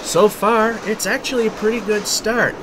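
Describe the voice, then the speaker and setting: A man's voice: high voice, steady tone, moderate pace, heavy background noise. A man delivering a wry, mock-promotional voice-over as the show's host. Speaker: show host. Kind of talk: voice-over segment.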